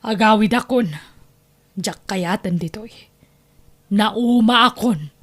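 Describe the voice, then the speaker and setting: A woman's voice: mid-range voice, slow. A woman playing a long-suffering, betrayed wife and mother in an Ilocano radio drama, emotional and pleading. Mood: weary, hurt.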